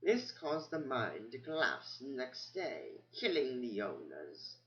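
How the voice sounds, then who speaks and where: A man voicing an ancient male mouse scholar who squeaks near a whisper, doddering and forgetful. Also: wildly swooping pitch, slow pace, sharp tone, roomy sound, highs cut off, full character voice. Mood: musing.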